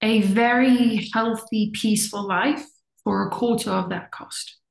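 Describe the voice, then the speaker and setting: Earnest middle-aged woman, gravelly voice. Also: mid-range voice, steady tone, measured pace. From born in the US, raised in Zimbabwe. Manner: earnest, repeats words for emphasis. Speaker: interview guest. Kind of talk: reflective conversational talk. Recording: echoey room.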